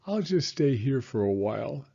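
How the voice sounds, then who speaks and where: A man's voice: medium pitch, sing-song, moderate pace, vocal fry, warm tone, slightly rough, lo-fi sound, full character voice. A man voicing a timid, curious young boy turtle with a hesitant, anxious manner in a storybook. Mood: worried.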